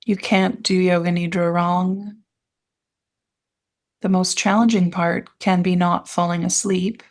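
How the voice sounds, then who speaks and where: A woman leading a guided meditation as an instructor, speaking in gentle, soothing phrases with pauses between them. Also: on the low side, fairly even intonation.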